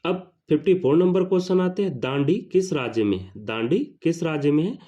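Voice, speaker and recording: A man's voice: fairly high pitch, expressive, fairly quick, dark tone. A man teaching an exam question-and-answer lesson, repeating questions and answers for emphasis. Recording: slightly dull lo-fi sound.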